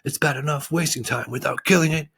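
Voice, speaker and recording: A man's voice: fairly high pitch, fast, gravelly, fairly bright tone, breathy edge, dry recording, studio quality, pitched above the performer's own voice, full character voice. A man reading a storybook aloud and voicing an impatient, scolding watchdog whose body is an alarm clock.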